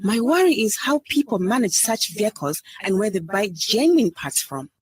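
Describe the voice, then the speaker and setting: A woman's voice: mid-range voice, very animated, normal speed, slightly rough. A woman playing a curious, eager shopper in a scripted radio advert.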